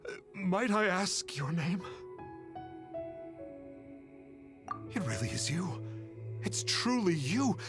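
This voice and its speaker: A man's voice: sits high, sing-song, unhurried, over music. An actor playing an earnest, flustered young man in a video game, speaking with formal, effusive reverence. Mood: awestruck. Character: earnest, awestruck young male reformed trickster and devotee. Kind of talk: anime-style video game dubbing.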